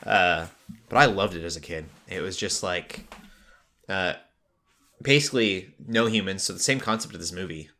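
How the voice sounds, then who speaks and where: A man's voice: low voice, moderate pace, pushed and bright. A man hosting a casual pop culture podcast, talking in a rambling way that drifts into tangents. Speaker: podcast host.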